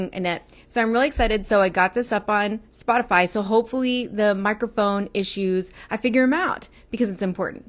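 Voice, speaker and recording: A woman in her forties with a Texas accent that slips into Midwestern vowels, chatting on a livestream, rambling and breaking off to greet viewers. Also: medium pitch. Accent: Texas, with Midwestern turns. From Texas, United States. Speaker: livestream host. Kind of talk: casual livestream chat. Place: small room indoors. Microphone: earphone headset mic, phone camera close.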